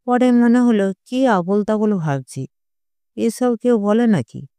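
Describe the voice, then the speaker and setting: A narrator reading a first-person short story in a measured, literary storytelling manner. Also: medium pitch, dark tone, smooth, no echo.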